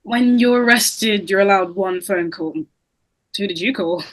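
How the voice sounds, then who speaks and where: A woman's voice: mid-range voice, measured pace, close to an everyday voice, poor audio. A woman playing a wary, suspicious teenage girl in an amateur table read of a drama.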